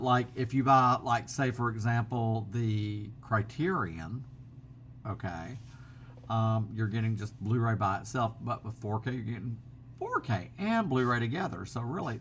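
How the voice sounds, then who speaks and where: A man's voice: mid-range voice, measured pace, a bit bright. A middle-aged man talks loosely into a laptop camera mic at home, his voice sitting in an ordinary middle register. He rambles casually, full of ums and restarts, over a faint background hum. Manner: rambling, many fillers and restarts. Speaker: vlogger. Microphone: built-in laptop camera mic.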